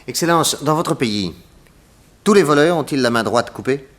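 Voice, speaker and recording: An actor playing a brisk, confident and courteous detective in a 1960s French radio mystery serial. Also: male voice, mid-range voice, animated, a bit bright, close to an everyday voice. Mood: inquisitive, decisive. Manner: brisk, assured, polite.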